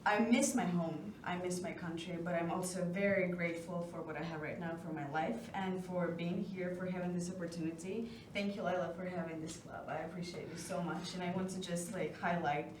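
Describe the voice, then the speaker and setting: A woman's voice: fairly low pitch, steady tone, fairly quick, hardly any pauses. Woman from Ukraine, cast member; grateful, heartfelt, recorded far off in an echoey hall. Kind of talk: post-show Q&A answer. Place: theater stage. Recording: echoey noisy room from far off.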